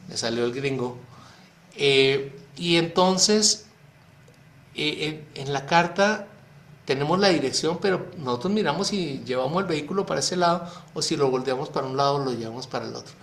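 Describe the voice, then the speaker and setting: A man leads an informal astrology lesson for a private group, walking through ideas one at a time and often asking questions aloud before answering them himself. His voice sits a little high for a man and is full of everyday fillers. The recording carries a noticeable roomy echo. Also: creaky, fairly bright tone. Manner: explanatory, many rhetorical questions and fillers. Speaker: astrologer teaching a workshop. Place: online talk for a private group.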